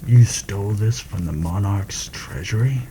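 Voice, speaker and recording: A man playing a seasoned fantasy assassin in a soft-spoken ASMR roleplay, measured and deliberate, wary and proud. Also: on the low side, slight background noise, low-quality recording. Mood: wary disbelief.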